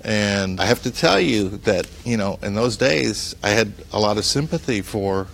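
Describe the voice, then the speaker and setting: A man's voice: fairly low pitch, lively intonation, normal speed, vocal fry, fairly bright tone, low-quality recording. An American man in his sixties, telling stories conversationally as an interview guest. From New Jersey, USA. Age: 60s. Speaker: talk show guest, news editor.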